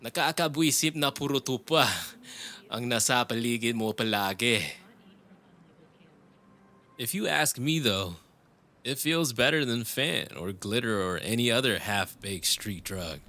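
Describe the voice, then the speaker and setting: A man's voice: medium pitch, unhurried, bright, forceful tone. A man playing a tender, wry young lover in an intimate cyberpunk romance audio drama, teasing and a little hesitant. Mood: earnest. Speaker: audio roleplay voice actor.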